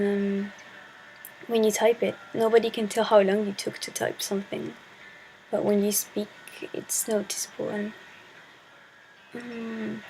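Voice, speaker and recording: Teen girl vlogger, native spanish speaker, flat hesitant delivery, faint background hiss. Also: medium pitch, rough recording. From Chile. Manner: hesitant, shy, searching for words. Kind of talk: casual talk to camera.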